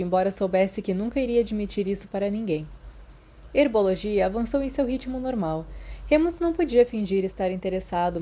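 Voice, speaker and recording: Brazilian woman reading a story out loud, soft dark mellow tone, mid pitch, little slips here and there. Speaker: audiobook narrator. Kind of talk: scripted fiction narration.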